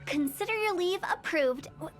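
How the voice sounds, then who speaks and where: A mischievous young funeral parlor director with a very high, bright voice and a taste for morbid jokes. She talks in a teasing, cheeky way. Faint game music plays behind her. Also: lively intonation, moderate pace. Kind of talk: video game voice acting.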